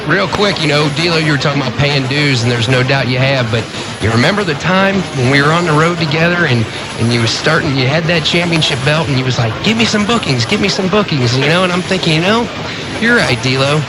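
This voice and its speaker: A man's voice: fairly high pitch, animated, a little fast. A man co-hosting a radio talk show, teasingly telling a story from the road. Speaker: radio co-host. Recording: old AM radio broadcast, heavy noise.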